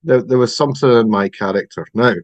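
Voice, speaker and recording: A middle-aged Scottish man telling his personal story on a podcast over a remote call, in a reflective, halting way with many pauses and ums. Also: mid-range voice, dry recording, highs cut off. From Scotland. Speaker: podcast guest.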